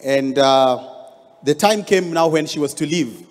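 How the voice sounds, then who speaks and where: A man's voice: on the high side, a little slow. A Kenyan man in his thirties, a pastor telling a story in a sermon with rising emphasis, on a handheld mic. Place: large church hall stage. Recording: long hall reverb.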